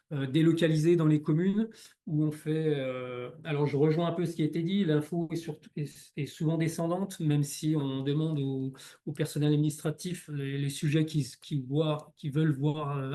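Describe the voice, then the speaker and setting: A man giving an explanatory presentation in a halting way, often repeating words as he goes. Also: fairly high pitch, mellow. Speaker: local authority staff presenter.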